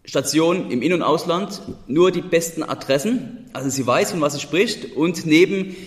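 A man's voice: on the high side, moderate pace. Host, male, Odenwald German accent, moderating a wine chat, echoey room with a long tail. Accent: Odenwald German dialect. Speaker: podcast host. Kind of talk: hosted wine podcast conversation.

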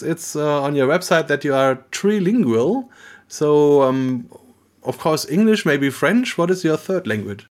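A man with a German accent hosting a podcast in English, friendly and halting as he searches for words. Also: mid-range voice. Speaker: podcast host. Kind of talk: podcast interview.

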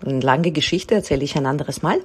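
A woman's voice: low-pitched, quick pace, husky. A woman in her forties from Israel, born in Russia, speaking as she introduces her work as a head of musical studies. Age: 40s. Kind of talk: prepared spoken self-introduction.

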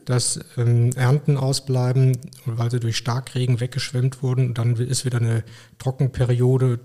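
A middle-aged man from Germany, a physician and podcast guest, talking in a relaxed, thoughtful way with frequent hesitations. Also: mid-range voice, moderate pace. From Koblenz, Germany. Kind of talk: casual interview talk.

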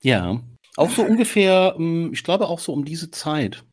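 A man's voice: fairly high pitch, big pitch swings, a little slow. A man hosting a podcast in casual chat, talking loosely with many filler sounds. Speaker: podcast host.

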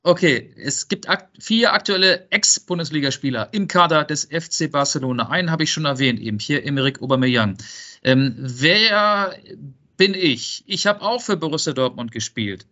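A man hosts a football quiz in a casual podcast conversation. His voice sits a little high and has a sharp, bright edge. The sound is slightly muffled and lo-fi. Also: lively intonation, moderate pace. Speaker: podcast co-host and quizmaster.

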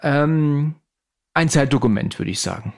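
A man in his forties, a guest on a podcast, talking in a hesitant way with many pauses and filler sounds. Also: on the high side, animated, darkish tone. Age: 40s. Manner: hesitant, many "ähm" pauses, easy laughs. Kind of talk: casual podcast conversation.

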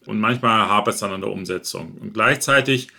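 Man from northern Germany, podcast host as guest. Gravelly, bright tone, chatty. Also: lowish voice, brisk. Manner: chatty, thinks aloud with fillers. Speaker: podcast host being interviewed. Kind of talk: relaxed conversational interview.

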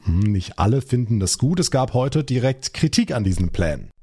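Male radio news presenter, medium pitch. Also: sing-song, normal speed, warm tone, husky.

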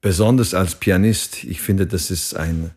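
A man. He speaks very slowly as an interview guest, reflecting haltingly and searching for words in a language that is not his first. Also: deep voice, a little mellow, husky. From England. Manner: halting, searching for words in non-native speech.

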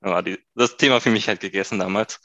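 Relaxed young man, dry close sound. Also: fairly low pitch, fast talker, dry recording, dull audio. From Berlin, Germany. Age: 20s. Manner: relaxed, hesitant, with many fillers and short laughs. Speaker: guest. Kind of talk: casual interview chat.

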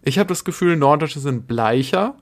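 A man's voice: a little high, expressive, normal speed. A man co-hosting a podcast in casual chat, teasing wryly and thinking aloud. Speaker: podcast co-host.

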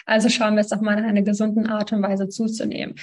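A woman in her twenties from Ukraine, telling her story as a guest in a relaxed interview, talking with lots of fillers and small laughs. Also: mid-range voice, quick pace. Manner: chatty, many fillers, laughs between sentences. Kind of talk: casual interview talk.

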